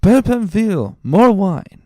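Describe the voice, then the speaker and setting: High-pitched, drawling tipsy drunkard. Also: male voice, sing-song, takes it slowly, darkish tone, no room sound, clean studio sound, exaggerated voice. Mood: tipsy, boastful. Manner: slurred, rambling tipsy delivery. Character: tipsy, good-natured, boastful male drinking companion.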